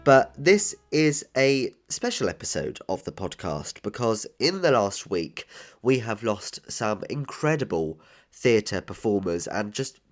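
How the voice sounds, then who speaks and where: A man's voice: on the low side, very animated, measured pace, faint music behind. A man hosting a tribute podcast, nasal and a bit bunged up with a cold, earnestly listing credits with enthusiasm. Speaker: podcast host. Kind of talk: scripted tribute podcast narration.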